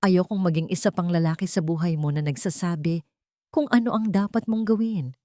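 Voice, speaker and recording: Steadfast, gentle romantic lead, male role read by a woman, reassuring, measured, dry sound. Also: on the low side, no echo, natural voice. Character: gentle, supportive man, steadfast romantic partner.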